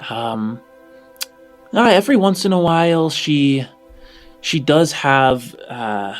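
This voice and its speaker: A man voicing a flustered, evasive female orphanage administrator in a tabletop game, speaking in a halting, hedging way. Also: on the high side, expressive, faint music behind.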